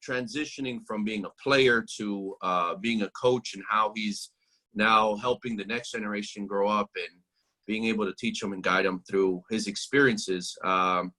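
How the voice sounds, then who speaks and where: A man's voice: on the low side, pushed and bright, rough recording. A man hosting a podcast, introducing his guest in a loose, rambling way with many fillers. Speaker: podcast host. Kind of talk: casual interview.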